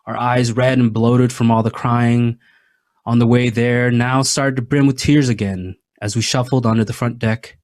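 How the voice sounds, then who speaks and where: A young man from Maine giving a prepared personal talk at a storytelling event, speaking in a measured, reflective way with deliberate pauses. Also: medium pitch, dry recording, clean studio sound. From Maine, United States. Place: event stage.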